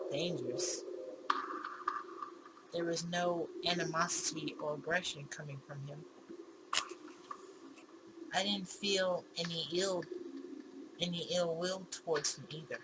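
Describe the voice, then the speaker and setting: Woman, let's play narrator, unhurried pace, mid pitch, bemused and giggly, clear background noise. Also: steady tone. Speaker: gaming YouTuber. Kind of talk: let's play, reading a visual novel aloud.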